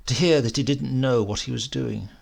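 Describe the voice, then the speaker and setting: A man with an English accent reading a literary story aloud in an expressive, measured way. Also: medium pitch, gravelly. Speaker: audiobook reader.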